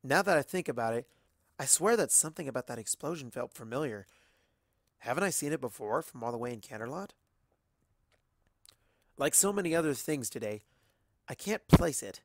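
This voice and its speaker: A storyteller narrates in the first person with a lively, swinging pitch. The recording is dry and close, with the voice at a middling pitch. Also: moderate pace, pauses often, no echo. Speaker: fan fiction narrator.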